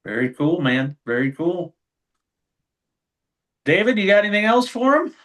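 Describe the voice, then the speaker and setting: A man's voice: high-pitched, big pitch swings, moderate pace, fairly bright tone, recorded from a distance. An American man hosting a podcast with informal, jokey, buddy-like banter. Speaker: podcast host. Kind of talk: casual banter.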